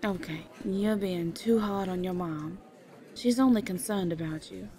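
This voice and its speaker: A woman playing a bossy but caring young friend, brisk and wry, in an audio drama. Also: medium pitch, dark tone, close to an everyday voice. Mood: coaxing.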